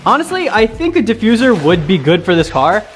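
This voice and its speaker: A young man casually talking through a car light install for his vlog, excited and slangy, saying "dude" a lot. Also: sits high, brisk. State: voice getting hoarse. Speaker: car vlogger. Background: background music.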